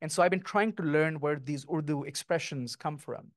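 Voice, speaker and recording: A middle-aged man, a guest author, speaking thoughtfully and lyrically with many pauses at an onstage conversation, on a handheld mic. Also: fairly high pitch, dry recording, studio quality. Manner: thoughtful, lyrical, with frequent ums. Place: small stage before an audience, indoors.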